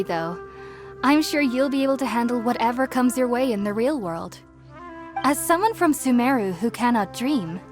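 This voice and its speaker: A woman playing an earnest, gentle young researcher in a video game, explaining things in a thoughtful, articulate way. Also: on the high side, lightly acted. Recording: music behind the voice.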